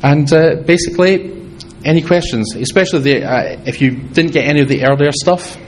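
A man with a Scottish accent giving a fast, halting technical talk to a hall audience, with many restarts and fillers. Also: a little high, slight background noise. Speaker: conference speaker.

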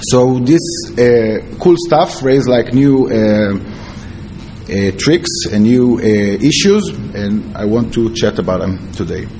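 A man with an Israeli accent giving a conference presentation as a security researcher, in halting, non-fluent English. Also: on the low side, animated, warm tone, slight background noise. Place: conference talk before an audience.

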